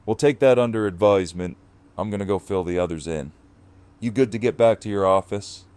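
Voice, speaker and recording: An actor playing a commanding, protective leader of a survivor group in an audio drama. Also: male voice, on the low side, a little fast, darkish tone, clear, resonant tone, lightly acted. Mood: steady, concerned.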